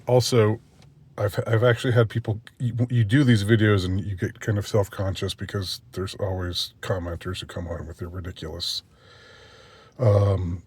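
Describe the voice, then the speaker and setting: A middle-aged man with a congested, stuffy voice, rambling through a halting solo monologue full of fillers and restarts, with a wry, self-deprecating manner. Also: lowish voice, slightly rough, no room sound. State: nasally congested. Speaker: vlogger.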